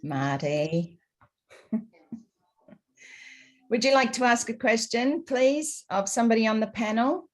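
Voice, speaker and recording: An Australian woman hosts a video call at a middle pitch. She is friendly and a little apologetic as she hands out turns. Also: animated, slow, pauses often. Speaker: webinar host. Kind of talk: casual video call chat.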